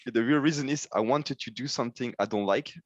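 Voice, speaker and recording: A man's voice: mid-range voice, a little fast, dry recording, dull audio. A man in his twenties from Switzerland, a non-native English speaker, modestly describing himself as a guest and searching for words. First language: not English. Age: 20s. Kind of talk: casual interview talk.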